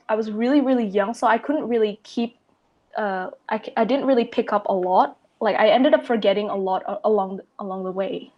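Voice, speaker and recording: A woman's voice: medium pitch, a little mellow, lo-fi sound. Chatty Indonesian teen girl. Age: teens. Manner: rambling, many fillers and restarts. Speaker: guest. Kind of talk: casual podcast chat.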